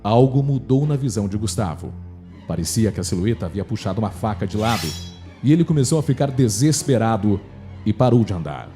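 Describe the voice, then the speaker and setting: A man's voice: on the low side, lively intonation, brisk, warm tone. A man with a Brazilian accent narrating a scripted horror story for radio drama. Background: faint music.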